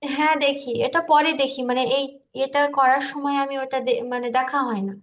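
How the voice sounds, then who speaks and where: A woman informally explaining her work in a halting, loose way on an online call. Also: sits high, steady tone, reverberant, highs cut off. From India. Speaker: video creator showing their work. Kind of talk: informal screen-share walkthrough.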